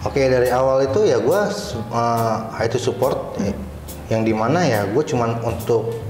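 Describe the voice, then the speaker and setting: A man's voice: mid-range voice, measured pace, a little mellow. Jakarta guy casually telling his story in an interview, slangy, echoey room, bit of music behind. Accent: Jakarta Indonesian. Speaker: interview guest, cyber security supervisor. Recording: roomy echo, faint background music.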